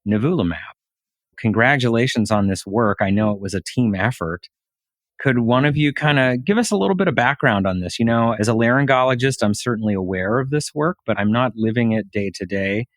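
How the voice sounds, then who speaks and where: A man's voice: fairly low pitch, no room sound. A man hosting a podcast, speaking as an interviewer. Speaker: podcast host.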